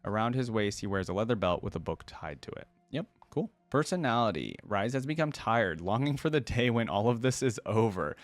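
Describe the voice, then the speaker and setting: A man with a fairly low voice hosts a casual reaction video. He reads passages aloud and breaks off to comment in informal, chatty language. The sound is dry, with little room around it. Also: very animated, fairly quick, hardly any pauses, no room sound. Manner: informal, addresses viewers as guys and dude.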